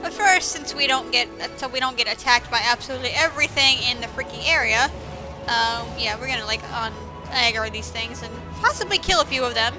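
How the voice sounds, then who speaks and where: A woman's voice: fairly high pitch, a little fast, rough recording. A woman giving casual video game commentary as host, with sarcastic asides, over music. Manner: sarcastic, mildly profane asides.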